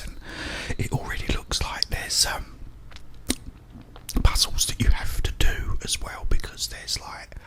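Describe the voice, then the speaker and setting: Male ASMR host, whispered and breathy, casual meandering delivery, unscripted. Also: rough recording.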